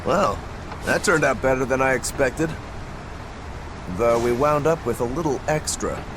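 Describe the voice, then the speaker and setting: An actor playing an expressive, emphatic male character in a dramatic video game. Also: mid-range voice, unhurried, background noise, lightly acted. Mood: wry, calm. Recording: music behind the voice.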